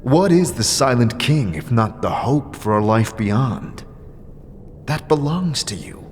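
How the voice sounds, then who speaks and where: A man's voice: mid-range voice, lively intonation, slow pace, slight background noise. A man voicing an immortal male wanderer who talks earnestly and asks pointed questions. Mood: impassioned.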